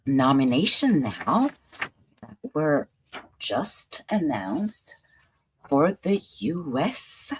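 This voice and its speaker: A woman's voice: low-pitched, vocal fry, slightly rough. A woman with a French accent, hosting a casual commentary show and chatting playfully about news clips. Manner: chatty, playful, laughs and muses aloud. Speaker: host.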